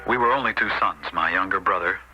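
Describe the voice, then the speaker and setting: Low-voiced, dutiful elder son. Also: male voice, fast talker, sharp tone, slight background noise. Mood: sober, confessional. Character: earnest, dutiful American elder son telling his story. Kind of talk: 1970s radio drama acting and narration. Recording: muffled old radio recording, music behind.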